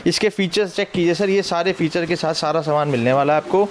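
Male shop salesman, high voice, Delhi Hindi accent, pushy and persuasive warehouse walkthrough. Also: a little hiss or hum. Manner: brisk persuasive, addresses viewer as sir. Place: large electronics warehouse indoors.

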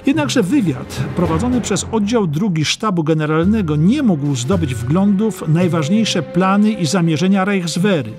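A man's voice: high-pitched, animated, normal speed, few pauses. A man giving scripted narration of a historical documentary audiobook. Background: music.

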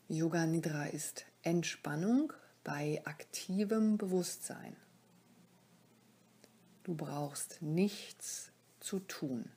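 Slow, soft, breathy woman guiding relaxation. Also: on the low side. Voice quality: soft, breathy, often near-whispering. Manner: calm, soothing, short instructive phrases with pauses. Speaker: yoga nidra teacher leading a guided relaxation. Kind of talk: guided meditation.

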